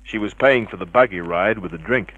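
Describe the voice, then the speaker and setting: An actor playing a cynical, unflappable private detective, terse and dry, in vintage radio drama style. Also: male voice, on the low side, brisk, a bit bright, muffled recording. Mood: hard-boiled narrating. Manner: terse, hard-boiled, dry. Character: cynical, unflappable male private detective narrating his case.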